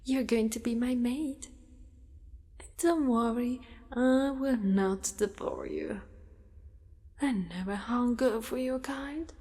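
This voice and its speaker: A woman voicing a possessive, doting female spider monster in an ASMR roleplay, with formal wording and a hushed delivery that often slips into whispers. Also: fairly high pitch, slow, pauses often. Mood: possessive, soothing. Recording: long reverberant echo.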